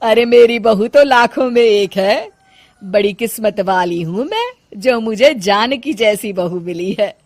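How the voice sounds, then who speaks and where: A woman's voice: medium pitch, expressive, measured pace, darkish tone, dry recording. A woman voicing a fussy middle-aged city mother-in-law who complains in a theatrical way. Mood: boastful.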